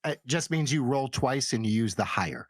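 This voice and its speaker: A man's voice: mid-range voice, lively intonation, moderate pace, background noise. A man acting as dungeon master, narrating a tabletop game and explaining it casually.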